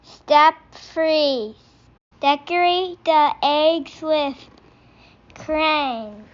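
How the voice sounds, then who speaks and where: A woman voicing a patient but exasperated rabbit instructor from a picture book, speaking in deliberate, halting steps. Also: high voice, dull audio. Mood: instructive.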